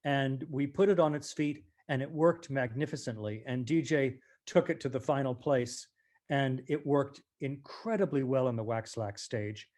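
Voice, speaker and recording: A man's voice: medium pitch, normal speed, a little mellow. A male stage actor chatting reflectively as an interview guest, articulate and emphatic.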